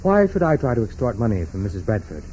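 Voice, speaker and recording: An actor playing a flustered, defensive private secretary with hesitant, stammering speech in a 1940s radio crime drama. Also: male voice, fairly low pitch, very animated, quick pace, warm tone. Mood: nervous, defensive. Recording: muffled, faintly noisy 1940s radio recording.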